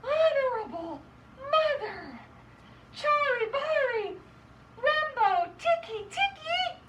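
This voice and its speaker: A woman's voice: expressive, distant mic, heavy background noise. A woman voicing a frantic, breathless little boy in a storybook, pleading urgently for help for his brother. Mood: breathless, desperate. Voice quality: breathless, high. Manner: slow, laboured chanting of a long name.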